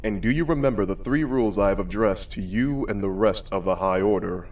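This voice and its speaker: A man playing a cold, sadistic evil king in an audio drama, speaking slowly and imperiously. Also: on the low side, a little mellow, far from the mic, natural voice. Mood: cold, commanding. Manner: slow, deliberate, imperious.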